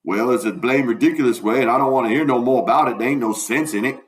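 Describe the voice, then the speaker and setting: A man's voice: mid-range voice, fast, pitched above the performer's own voice, natural voice. A man voicing a stubborn, uneducated runaway in a storybook reading, with a folksy Southern US dialect and an emphatic, argumentative manner. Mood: indignant. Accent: Southern US dialect, put on. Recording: roomy, echoing room.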